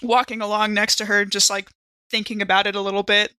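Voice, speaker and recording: A woman's voice: mid-range voice, little pitch movement, brisk, bright, forceful tone, clean tone, no echo. A woman taking part in a tabletop game, casually narrating what her character does and thinks.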